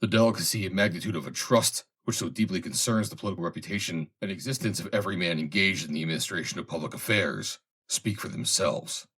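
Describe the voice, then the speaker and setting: A man reading a formal historical essay aloud in a measured, deliberate way. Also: low voice, fairly bright tone, slightly rough. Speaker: reader, narrator.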